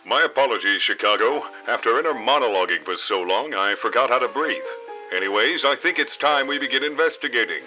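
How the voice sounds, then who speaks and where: An actor playing a hapless, dim novice private detective, narrating a parody noir radio drama in deadpan melodrama. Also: male voice, mid-range voice, fairly quick. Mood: sheepish. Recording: dull lo-fi audio under loud music.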